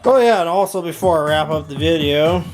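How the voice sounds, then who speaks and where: A man's voice: fairly high pitch, brisk, soft background music, low-quality recording. A man talking informally in a hands-on product demo vlog, rambling a little and correcting himself as he goes. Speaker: video maker reviewing audio gear.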